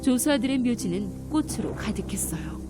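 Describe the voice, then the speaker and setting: Gentle, slightly high female narrator for a kids' story, over music. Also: animated, moderate pace.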